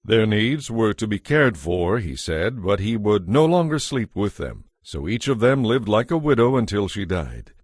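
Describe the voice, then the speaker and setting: Low-voiced male scripture narrator. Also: big pitch swings, moderate pace, hardly any pauses, dry recording, studio quality.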